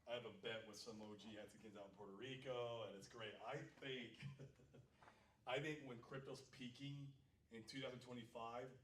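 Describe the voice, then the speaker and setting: Man from Phoenix, seminar panel speaker, rambling and animated, echoey room, distant mic. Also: mid-range voice, moderate pace, slight background noise. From Phoenix, USA. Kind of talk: live seminar panel talk.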